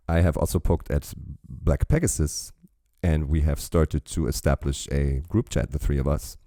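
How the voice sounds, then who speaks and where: A man with an extremely deep, dark voice hosts a casual reaction show, rambling through chatty asides. His voice is recorded close and dry. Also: lively intonation, unhurried, vocal fry, no echo. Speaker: reaction channel host.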